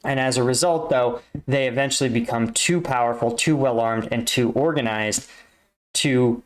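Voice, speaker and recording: A man with a mid-pitched voice talks through his analysis as a video host. He speaks off the cuff in an explanatory way, pausing to find the right word and checking in as he goes. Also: poor audio. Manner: analytical, halting, searches for words, checks in with "right?". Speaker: reaction video host, army combat veteran.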